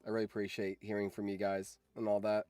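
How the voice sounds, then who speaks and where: A man's voice: fairly low pitch, completely flat delivery, fast talker, warm tone, no echo. Casual man streamer with a close, dry sound. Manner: rambling, freely swearing. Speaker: streamer and video editor chatting with viewers. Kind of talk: casual livestream chat.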